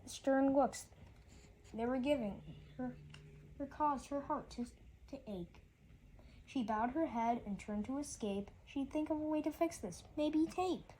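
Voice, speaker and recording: A woman's voice: sits high, a little slow, warm tone, slight background noise, low-quality recording. A woman reading a rhyming children's story aloud, often stumbling and repeating words as she goes.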